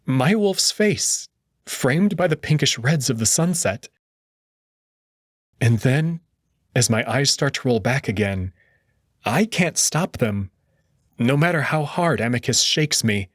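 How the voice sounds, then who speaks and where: Expressive male story narrator. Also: medium pitch, slow, long pauses, no echo, studio quality. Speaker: visual novel reader and host. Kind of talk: dramatic first-person story narration and commentary.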